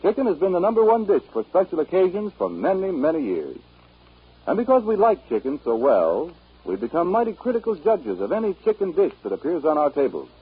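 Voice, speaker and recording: A man working as a radio announcer, reading a 1930s sponsor message with emphatic, deliberate salesman's phrasing. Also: fairly high pitch, very animated, slightly rough. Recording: tinny 1939 radio recording.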